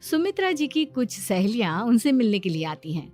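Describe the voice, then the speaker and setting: A woman's voice: a little high, very animated, moderate pace, darkish tone, soft background music. A woman reading scripted story narration as the narrator.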